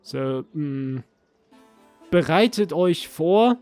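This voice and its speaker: A man with a fairly high voice casually comments on pronunciation in game dialogue, echoing phrases as he explains them. Faint music sits behind him. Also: lively intonation, extremely slow, a little mellow. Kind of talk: casual chat, language commentary.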